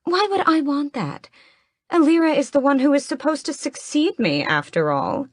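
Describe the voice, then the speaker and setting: A woman's voice: high voice, sing-song, moderate pace, dry recording, clean studio sound, voice pushed up. A woman voicing a haughty, ageless vampire queen who speaks in cold, mocking commands. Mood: scornful.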